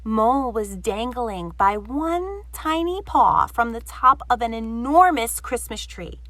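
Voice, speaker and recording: Female storyteller for children's read-aloud: highly animated, higher-pitched, unhurried delivery, dry close sound. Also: dry recording.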